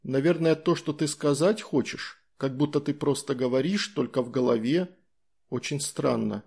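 A man's voice: medium pitch, moderate pace, gravelly, darkish tone, breathy edge. A man voicing a bewildered boy adventurer in an audiobook, shaken, hesitant and incredulous.

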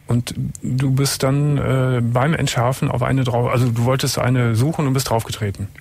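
A man hosting a late-night radio call-in show, asking a caller calm, probing questions. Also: medium pitch, moderate pace, a little hiss or hum. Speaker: radio talk show host.

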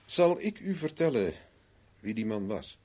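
An actor with a Netherlands Dutch accent playing a shrewd, self-assured private investigator in a 1970s radio play, measured, persuasive and knowing. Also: male voice, lowish voice, very animated, warm tone. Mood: knowing, insistent.

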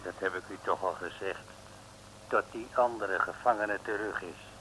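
An actor playing a sarcastic, curt prison guard in an old comic radio play. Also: male voice, low-pitched, moderate pace, sharp tone, breathy edge, heavily acted. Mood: smugly menacing. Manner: curt, mock-polite, threatening. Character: gruff sarcastic Italian male prison guard. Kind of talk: 1950s-60s comic radio play acting. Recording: noisy old radio recording.

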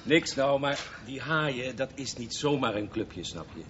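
A man's voice: medium pitch, lively intonation, a little slow, fairly bright tone. A male actor playing an adult man who cautions a boy, hesitant and soothing, in a Dutch radio play. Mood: placating. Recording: muffled, faintly noisy radio play recording.

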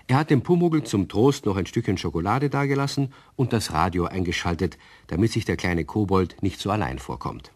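A man narrating a children's radio play as a calm, explaining storyteller with a husky voice. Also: lowish voice.

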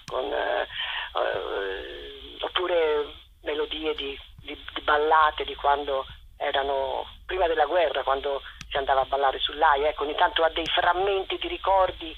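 A woman theatre director speaking as a guest by phone, halting and searching for her words. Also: low-pitched, gravelly. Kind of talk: radio interview by phone. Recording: tinny phone line with faint noise.

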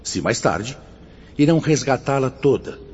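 A man's voice: mid-range voice, expressive, moderate pace, a little mellow, husky. A Brazilian man acting in a radio drama as a solemn, authoritative spirit mentor, declaiming like a preacher. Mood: firm, instructive. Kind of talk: radio drama acting, oratorical sermon-like address. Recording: muffled, noisy, long hall reverb.

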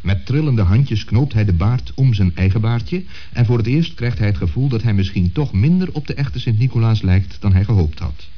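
Male narrator for children's radio play, lowish pitch, animated storytelling intonation, dull vintage sound. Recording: muffled old radio recording.

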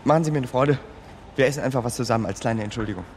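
An actor playing a smooth small-time con man who narrates his schemes with dry, conspiratorial asides in a crime radio play. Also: medium pitch, rapid-fire, a little mellow, slight background noise, close to an everyday voice. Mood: feigned friendliness. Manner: conspiratorial, dryly wry asides.

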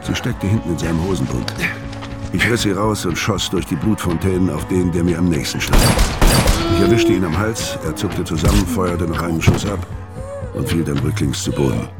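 A man's voice: deep voice, big pitch swings, normal speed. An actor playing a hard-boiled hitman who narrates a crime radio play in a terse, matter-of-fact way. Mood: grim, terse. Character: hard-boiled male hitman narrating his bloody escape. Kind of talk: scripted first-person noir radio drama narration. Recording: music behind the voice.

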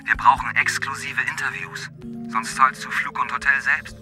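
An actor playing a brusque, insistent newspaper editor in an audio drama. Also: male voice, medium pitch, steady tone, normal speed, bright, forceful tone, soft background music. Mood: pushy. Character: pushy male newspaper editor, demanding.

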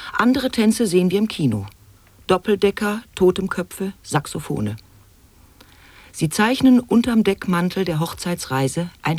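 An actor in a radio play voicing an eccentric declaimer of absurd wordplay and rhymes in theatrical, deliberate German stage delivery. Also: mid-range voice, a little mellow, no room sound. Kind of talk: avant-garde radio drama monologue, sound poetry.

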